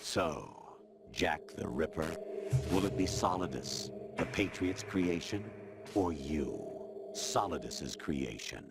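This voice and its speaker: A sinister, smug artificial intelligence with the voice of a commanding older man. It is extremely low and gravelly, drawing out its words with cold deliberation. Loud music plays behind this video game villain. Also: lively intonation, takes it slowly, exaggerated voice. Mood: mocking. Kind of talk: dramatic video game acting.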